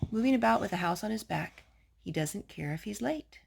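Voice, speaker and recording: Husky, creaky-voiced woman reading a storybook. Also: medium pitch, expressive, a little fast. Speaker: storytime host reading aloud to a child. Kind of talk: picture-book read-aloud.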